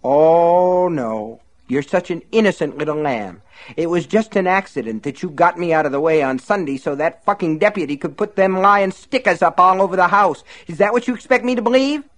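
A man's voice: fairly high pitch, very animated, normal speed, pitched above the performer's own voice. A brutal, bullying husband sneers and bellows orders, his voice pushed up and hard, as a man reading an audiobook puts him on. The delivery rides up and down with menace. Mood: sneering. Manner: snarling, sneering, shouting. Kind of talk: audiobook narration.

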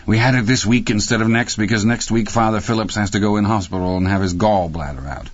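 In a roomy, slightly dull recording, a male narrator plays a coarse aging wife from an audiobook. The voice is pushed well up in pitch, with a husky edge. The delivery is impatient, scornful and bullying. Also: fast, lightly acted. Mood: scornful, sneering. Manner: impatient, contemptuous barking.

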